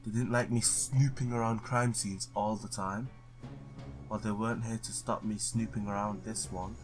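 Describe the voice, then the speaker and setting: A man's voice: fairly low pitch, fairly even intonation, normal speed, music in the background. A man doing a let's play, reading visual novel text aloud, stumbling now and then and blurting his own reactions.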